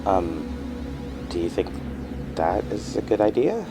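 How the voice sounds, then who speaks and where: Deep-voiced, hesitant man, audio drama. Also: very animated, gravelly, over music, full character voice. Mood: tentative. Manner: hesitant, halting, with false starts. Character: withdrawn, uneasy man recovering from brain injury. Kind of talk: naturalistic audio drama dialogue.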